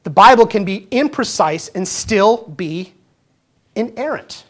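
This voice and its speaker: A man's voice: high-pitched, expressive, measured pace. Man, 50 years old, pastor, sermon, earnest, conversational, rhetorical questions, self-correcting restarts. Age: 50s.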